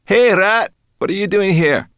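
A man voicing a small, sharp-tongued young female brownie creature in a storybook, brash and mocking. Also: high-pitched, a little slow, clean tone, dry recording, studio quality, pitched above the performer's own voice. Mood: brash teasing.

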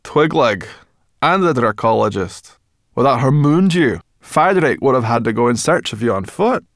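A man's voice: mid-range voice, animated, normal speed, no echo, studio quality, pitched above the performer's own voice, lightly acted. A man voicing a brash, bossy young female fairy creature who grumbles and exclaims, in a storybook reading. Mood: amused teasing.

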